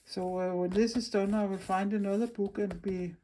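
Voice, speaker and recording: A woman from Denmark with a Danish accent, hosting a casual craft video, talking in a halting, friendly way while she searches for English words. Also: medium pitch, fairly even intonation, mellow. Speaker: craft channel host. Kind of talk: casual craft vlog chat.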